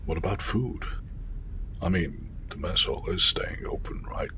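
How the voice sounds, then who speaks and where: Casting: actor as low-pitched, earnest male space officer, sci-fi radio play, clearly noisy ambience. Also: huge pitch swings, a little slow, pushed and bright, heavily acted. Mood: questioning. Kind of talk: science fiction fan audio drama acting.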